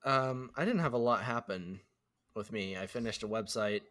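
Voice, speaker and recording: Easygoing young guy, lowish voice. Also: normal speed, fairly bright tone. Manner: easygoing, laughs often. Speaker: podcast co-host. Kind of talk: casual chat.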